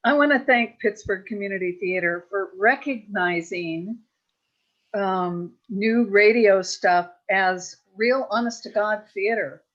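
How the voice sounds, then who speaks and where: A woman's voice: mid-range voice, slow pace. A woman from a theatre group speaking casually in a discussion, over slightly muffled call audio. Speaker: theatre director and participant.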